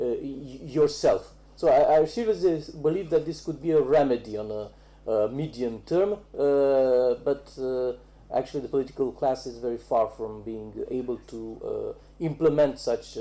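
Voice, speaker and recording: A man speaking as an academic panelist in a round-table discussion, haltingly searching for his words. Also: on the high side, warm tone.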